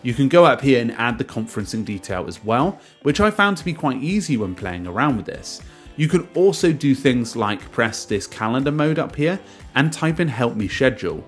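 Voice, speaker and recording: A man presenting a casual software review as a screen-share voice-over, explaining step by step, starting sentences with emphasis and trailing off at the ends. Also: mid-range voice, big pitch swings, moderate pace, soft background music.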